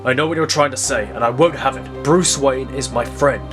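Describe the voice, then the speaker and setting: An actor playing a stern, loyal male district attorney, firm and measured, in an audio drama. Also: mid-range voice, music in the background, lightly acted. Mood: firm, troubled.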